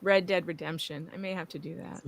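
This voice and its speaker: A woman in casual livestream chat, animated and expressive, given to exclamations. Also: on the low side, fairly quick, vocal fry, no room sound. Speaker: livestream guest.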